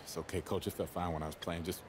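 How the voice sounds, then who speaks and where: An actor playing an easygoing young basketball player, speaking casually in a game cutscene. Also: male voice, sits low, little pitch movement, fast, background noise, close to an everyday voice. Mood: reassuring, casual.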